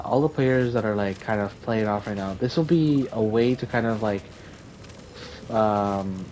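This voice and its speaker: A man's voice: medium pitch, darkish tone, a little hiss or hum. A young man with a tired voice, talking casually over his gameplay in a rambling way, with lots of fillers and restarts. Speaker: gaming YouTuber.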